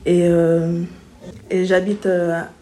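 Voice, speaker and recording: A woman in her twenties from Congo, telling her own story in an interview in a hesitant, halting way. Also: on the low side, monotone, mellow, low-quality recording. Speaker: interviewee, sociology student. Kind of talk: personal interview account.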